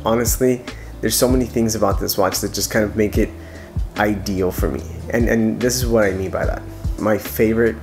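A man's voice: on the low side, darkish tone. A man in his thirties with a stuffy, nasal voice from a cold, chatting casually and enthusiastically to camera from his living room. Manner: chatty, enthusiastic, restarts often. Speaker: watch reviewer. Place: living room with couch, evening lighting.

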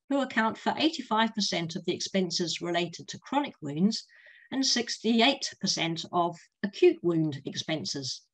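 An older woman with a New Zealand accent, a nurse giving a steady talk from slides over a video call from home. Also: medium pitch, poor audio. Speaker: consultant nurse presenter. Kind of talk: presenting a lecture. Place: room at home. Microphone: laptop webcam on a video call.

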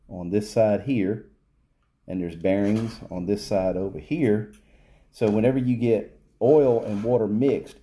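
A man's voice: on the low side, warm tone. A man in his workshop explaining a pump repair off camera, talking in a loose, plain way with fillers and restarts. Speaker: repair technician. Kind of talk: casual hands-on repair demo. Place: workshop, off camera behind the bench.

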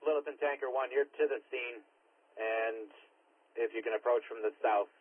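A male fire and ambulance dispatcher speaks over a two-way radio in a clipped, procedural way. His voice is medium-pitched and rough-edged. The sound is narrow and tinny, like a scanner feed. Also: fairly even intonation, normal speed. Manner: clipped, repeats units and times. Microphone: dispatch radio microphone.

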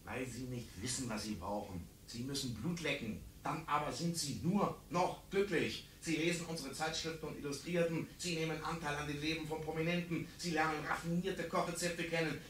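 A man's voice: fairly high pitch, moderate pace, fairly bright tone, echoey room, recorded from a distance, slight background noise, lightly acted. An actor playing a persistent young male magazine subscription salesman at the door in a radio play. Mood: pushy.

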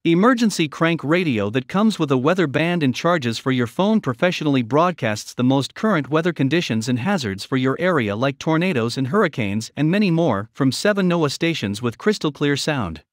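Man narrating products, lively pitch. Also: normal speed, hardly any pauses, dry recording, studio quality. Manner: reading from a script. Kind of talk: scripted promotional voice-over narration.

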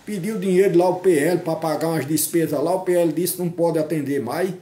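A man's voice: on the high side, fairly quick, mellow, roomy sound. An older man from Northeast Brazil vlogging outdoors into a phone, chatty and mocking, tagging his sentences with questions. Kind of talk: casual political commentary vlog. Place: outdoors on a tree-lined park road. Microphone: phone camera at arm's length.

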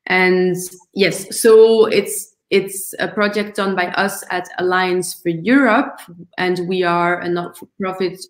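A woman's voice: lowish voice, fairly even intonation, slow, reverberant. A woman founder presenting her project on a video call, earnest and thoughtful, with frequent ums and restarts. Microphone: video call webcam.

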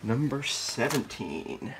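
A man showing his handmade flower sculptures, muttering slowly and now and then breaking into excited exclaims, sometimes whispering, filmed in a dark blacklit room. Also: medium pitch, rough recording. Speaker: artist showing his work. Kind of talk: casual off-the-cuff show-and-tell, partly whispered.

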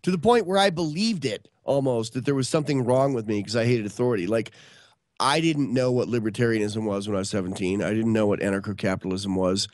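A man's voice: lowish voice, fast, darkish tone, no echo, clean studio sound. A man in his early fifties from upstate New York, telling stories in a rambling casual way on a podcast video call. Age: 50s. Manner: rambling, many restarts and fillers. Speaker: podcast guest. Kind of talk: casual storytelling chat.

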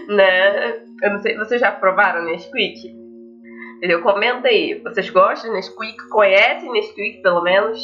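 A young adult woman with a Brazilian accent, hosting a casual let's play, chatting to viewers and reading game text aloud. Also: medium pitch. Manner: chatty, addresses viewers with frequent tag questions. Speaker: gaming video host. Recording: slightly dull mic over game music. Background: faint game music.

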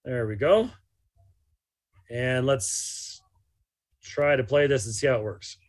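A man's voice: medium pitch, slow pace. A man in his fifties giving live religious commentary, emphatic and incredulous, repeating phrases for stress. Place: small motorhome interior.

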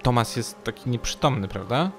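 A man's voice: mid-range voice, expressive, moderate pace, soft background music. A man taking part as a player in a tabletop game, casually describing actions and speaking in character.

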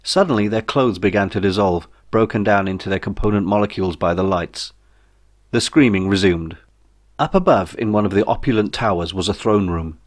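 A man's voice: lowish voice, a little fast. A man narrating a comic science fiction audiobook in a wry, dry manner. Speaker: author reading own audiobook.

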